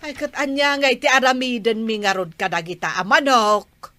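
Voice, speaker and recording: A woman's voice: fairly high pitch, normal speed, sharp tone. A woman with an Ilocano Filipino accent playing a plaintive, protesting village wife in a comedy skit. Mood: exasperated. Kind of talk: amateur comedy skit acting.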